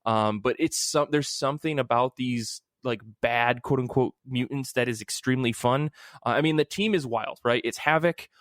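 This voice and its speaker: A man hosts a casual podcast with an enthusiastic, rambling delivery. His voice sits at a middling male pitch, and the sound is dry with no room around it. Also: normal speed, no room sound. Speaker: podcast host. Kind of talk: casual comic book podcast chat.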